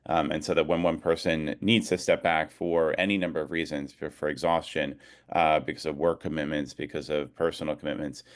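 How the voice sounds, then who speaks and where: Very deep, smooth-voiced man, reflective. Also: level tone, fairly quick. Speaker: advocacy organization leader, guest speaker. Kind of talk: informal talk at an online rally. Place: remote video call. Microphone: video call.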